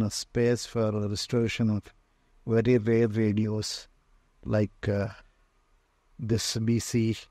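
A low-voiced man with an Indian accent walks through his collection as a tour guide. His delivery is slow and halting, in short phrases. The tone is dark and soft-edged. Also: no echo. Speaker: museum owner and radio restorer giving a tour. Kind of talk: unscripted walk-through tour commentary. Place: indoor room lined with shelves of old radios.

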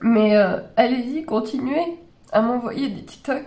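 Hoarse woman in her 40s, fairly high voice, ranting at her webcam all exasperated, lots of euh and restarts. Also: measured pace, darkish tone. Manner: exasperated, incredulous, many fillers and restarts. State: slightly hoarse with a cold. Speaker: horse channel host reacting to videos. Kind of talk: casual reaction commentary. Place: small bedroom with gaming chair.

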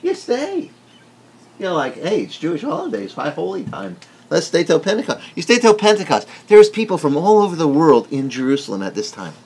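A middle-aged man whose first language is Italian, preaching an animated teaching sermon indoors and asking the room questions. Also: a little high, brisk, slightly rough. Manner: animated, gesturing broadly, asks the room questions. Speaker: pastor. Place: wood-paneled indoor room.

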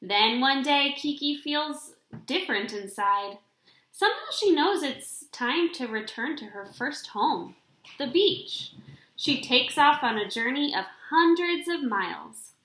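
A young adult woman reading a children's picture book aloud in an expressive, deliberate storybook delivery, recorded in a room at home. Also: high voice, a little hiss or hum. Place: small indoor room at home. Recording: roomy, echoing home webcam recording.

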